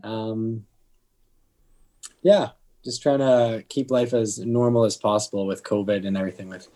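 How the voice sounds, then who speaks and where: A man in his mid twenties chats as a podcast guest. His voice is a little low, and he phrases things loosely and hesitantly. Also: steady tone, a little slow, mellow. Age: mid 20s. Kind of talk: casual chat.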